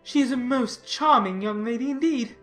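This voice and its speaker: A woman's voice: fairly high pitch, moderate pace, a bit bright, soft background music, pitched above the performer's own voice, lightly acted. A woman voicing a pompous, self-important and obsequious clergyman in a dramatized classic novel, speaking in wordy, formal sentences. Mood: fawning, self-satisfied.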